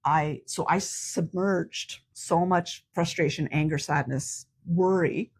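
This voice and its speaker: A woman co-hosting a podcast while visiting a friend in hospital, chatting casually with a loud, open manner. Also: on the low side, steady tone, takes it slowly, husky. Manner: loud, laughs big. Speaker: podcast co-host. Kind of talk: casual chat. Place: hospital room.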